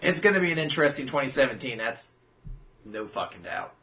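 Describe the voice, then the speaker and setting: A man's voice: mid-range voice, animated, a little fast, sharp tone. A man co-hosting a podcast, chatting casually and rambling through jokes and mock voices. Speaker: podcast co-host. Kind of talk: casual chat.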